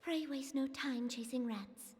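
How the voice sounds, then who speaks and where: An actress playing a poised, stern and shrewd noblewoman in a fantasy video game, with formal, measured, assertive speech. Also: high voice, a little mellow, a little hiss or hum. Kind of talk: dramatic fantasy game dialogue.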